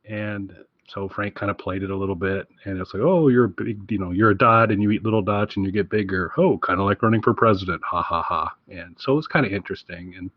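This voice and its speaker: A man with a fairly low voice hosts a casual podcast. He talks in an enthusiastic, rambling way. The sound is a little roomy and muffled. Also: brisk, hardly any pauses. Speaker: podcast host. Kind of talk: casual recommendation chat.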